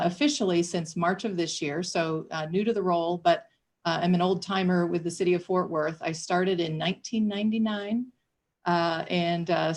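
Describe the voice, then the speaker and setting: A woman in her fifties from Michigan with a Michigan accent, a city official chatting through her background on a panel. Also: lowish voice. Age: 50s. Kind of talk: panel introduction.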